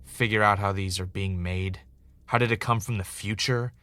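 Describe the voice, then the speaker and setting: A man acting as a wry, uneasy podcast host in an audio drama, with a casual, halting, conversational delivery. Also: lowish voice, steady tone, sharp tone, smooth, no echo. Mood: uneasy, searching. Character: Ray, wry, uneasy male podcaster investigating his own death.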